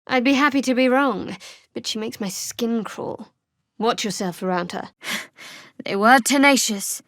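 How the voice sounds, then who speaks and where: An actress playing a guarded, sardonic young cleric of a dark goddess in a fantasy game, with a dry, wry delivery. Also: female voice, on the high side, measured pace, no room sound.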